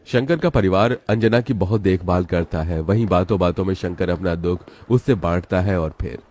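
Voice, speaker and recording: A man's voice: deep voice, normal speed, a little mellow. A man narrating a scripted story as its storyteller.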